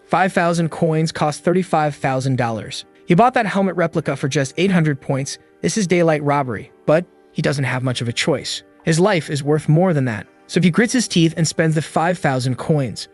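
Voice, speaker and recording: A man's voice: on the high side, moderate pace, few pauses. A man narrating a scripted story recap in a colloquial, dramatic way with slangy asides. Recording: music under the voice. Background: background music.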